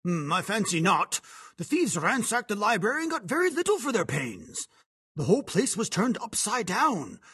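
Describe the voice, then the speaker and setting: A man's voice: sits high, a bit bright, husky, pitched above the performer's own voice, full character voice. A man reading an audiobook voices a hearty, chatty old retired army colonel. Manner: bluff, chatty, exclamatory. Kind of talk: audiobook character voice.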